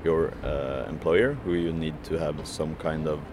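Hesitant low-voiced man with many fillers. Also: fairly even intonation, a little slow, noisy background. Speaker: striking food courier. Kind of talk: interview answers.

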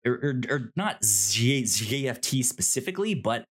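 Animated man with halting delivery, chatting casually. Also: medium pitch, slightly rough. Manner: halting, repeating words and syllables; animated, acts out imagined dialogue. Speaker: podcast co-host reviewing a TV show. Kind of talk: casual unscripted discussion.

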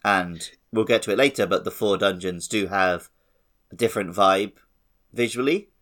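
Low-voiced man chatting on a podcast. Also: normal speed, fairly bright tone. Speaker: podcast host. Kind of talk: casual gaming podcast chat.

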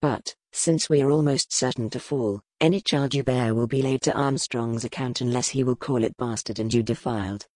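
A woman voicing a grim, powerful male duke, speaking low, measured and brooding in an audiobook. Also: a little mellow, dry recording. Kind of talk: audiobook character voice.

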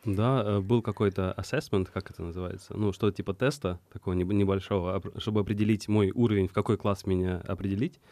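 A young man from Estonia answering interview questions in a reflective, halting way. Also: low-pitched, steady tone, warm tone, dry recording. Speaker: guest.

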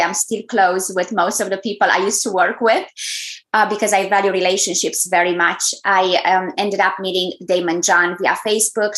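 A woman from Romania with a Romanian accent, answering as a podcast guest in an effusive, measured way. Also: on the low side, fairly bright tone, rough recording. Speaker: guest, brand strategist. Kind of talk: interview answers.

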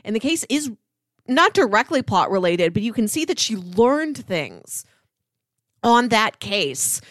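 A woman's voice: fairly high pitch, sing-song, measured pace, a bit bright, dry recording, studio quality. A woman co-hosting a podcast, discussing television in a casual, conversational review style. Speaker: podcast co-host.

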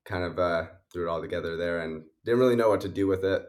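A young man with a very low voice talks through his music in a loose, rambling way. He fills his answers with fillers and laughs. He sounds a bit sniffly. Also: fast talker, darkish tone. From Canada. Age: young adult. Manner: rambling, many likes and uhs, laughs often. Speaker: musician guest. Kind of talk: casual interview answers.

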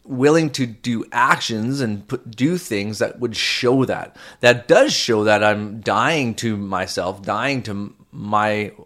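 A man's voice: fairly low pitch, slow, few pauses, fairly bright tone. A man co-hosting a faith-based marriage podcast, talking earnestly with frequent restarts and fillers. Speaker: podcast co-host.

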